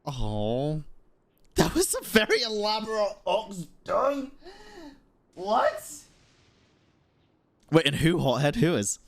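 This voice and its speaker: A woman game streamer with a fairly low voice that moves around a lot in pitch. She gives casual, reactive playthrough commentary and swears freely. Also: huge pitch swings, slow, long pauses.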